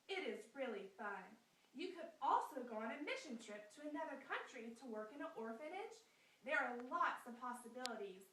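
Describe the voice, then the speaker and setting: A woman's voice: high-pitched, a bit bright, a little hiss or hum. A woman voicing a cheerful, earnest young girl puppet in a scripted puppet skit, explaining things in a sing-song, read-aloud way. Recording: echoey room, mic far off.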